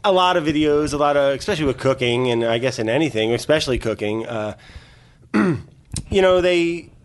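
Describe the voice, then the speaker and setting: A man's voice: medium pitch, expressive, normal speed. Laid-back New man, chatty guest. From northern New Jersey. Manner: laid-back, rambling, frequent fillers and laughs. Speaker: cooking video creator, guest. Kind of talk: casual interview chat.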